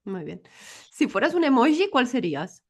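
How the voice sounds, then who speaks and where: A woman hosts a casual interview. Her voice sits a little high, and she puts her questions in an informal, conversational way. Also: big pitch swings, moderate pace. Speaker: podcast host, interviewer.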